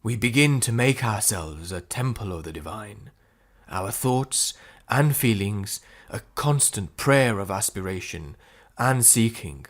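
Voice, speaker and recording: A man reading spiritual prose as an audiobook narrator, phrasing it deliberately with frequent pauses. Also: on the low side. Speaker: audiobook reader.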